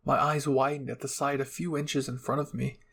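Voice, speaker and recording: Gravelly male story reader. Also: mid-range voice, level tone, moderate pace, fairly bright tone. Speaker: fan fiction reader. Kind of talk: first-person dramatic story narration.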